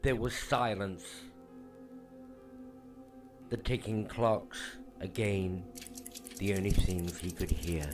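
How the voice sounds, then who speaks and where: Slow low-voiced man telling ghost stories. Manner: hushed, dramatic delivery. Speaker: storyteller. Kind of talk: slow scripted ghost story narration. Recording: faint music underneath.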